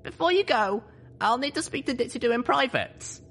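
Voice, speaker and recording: Earnest, high-pitched young pony mare. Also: animated, normal speed, fairly bright tone, husky. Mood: solemn, commanding. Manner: solemn, urgent speech-making. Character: earnest young female pony leader, pleading, determined.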